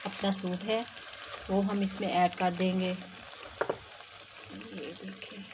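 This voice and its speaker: A woman's voice: medium pitch, little pitch movement, takes it slowly. A woman vlogger talking viewers through a recipe step by step in her home kitchen, filming the pot on her phone. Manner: homely step-by-step narration while cooking. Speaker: cooking vlogger. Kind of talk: home cooking demonstration. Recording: loud kitchen noise. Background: frying, sizzling and stirring sounds.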